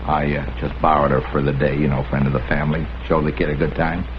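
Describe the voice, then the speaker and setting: A wheedling American crook, muffled vintage sound. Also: male voice, very deep voice, flat delivery, fast talker, a little hiss or hum. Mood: needling. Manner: slangy, cajoling. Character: wheedling small-time male crook pleading for a friend. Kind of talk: 1950s American TV crime drama acting.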